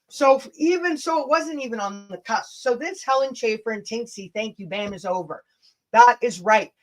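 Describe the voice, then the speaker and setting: A woman's voice: on the high side, fairly bright tone. A woman hosting a casual livestream rant, blunt, combative and sweary, with chatty asides to her viewers. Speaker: livestream host.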